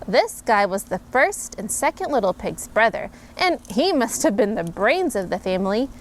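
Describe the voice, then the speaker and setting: A woman, a mother, reading a picture book aloud to her children in an animated, expressive way with playful emphasis. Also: mid-range voice, normal speed, a little hiss or hum. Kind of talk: storybook read-aloud.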